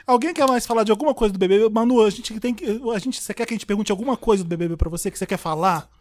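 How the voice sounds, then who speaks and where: A woman's voice: medium pitch, darkish tone, a little hiss or hum. A woman co-hosting a casual chat over a video call, teasing and laughing. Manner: quick, giggly, teasing. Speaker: co-host.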